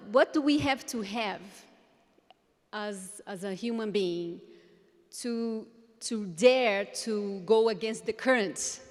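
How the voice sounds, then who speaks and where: Brazilian-accented woman in a reverberant hall. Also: a little high. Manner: earnest, reflective, with hesitant restarts. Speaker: conference speaker. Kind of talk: conference talk. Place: large conference hall. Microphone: handheld microphone. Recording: reverberant hall, faint background noise.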